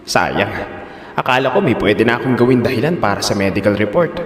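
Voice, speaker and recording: Man acting a smooth, scheming doctor. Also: lowish voice, lively intonation, fairly quick, voice pushed down, close to an everyday voice. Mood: calculating. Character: scheming male doctor, smooth and professional, secretly calculating. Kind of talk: Filipino audio drama acting.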